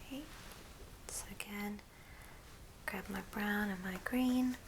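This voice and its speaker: A woman whispering breathily in a gentle ASMR craft tutorial, explaining step by step. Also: mid-range voice, slow, a little hiss or hum, rough recording.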